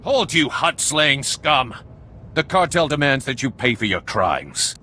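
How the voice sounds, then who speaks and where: A man's voice: mid-range voice, sing-song, measured pace, heavy background noise. A man voicing a fierce, bombastic alien warrior in a video game, declaiming with menace. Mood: menacing, outraged. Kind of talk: video game cutscene dialogue.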